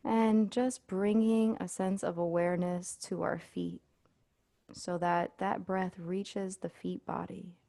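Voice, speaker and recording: A woman leading a guided body scan meditation as an instructor, speaking in a soothing, unhurried way with pauses. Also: on the low side, level tone, gravelly, dark tone, dry recording.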